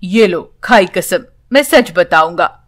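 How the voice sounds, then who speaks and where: A solemn old grandfather from a village storybook, put on by a woman. The voice drops well below the storyteller's and moves slowly, with measured, kindly gravity. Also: lowish voice, animated, pitched below the performer's own voice. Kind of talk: children's storybook character voice.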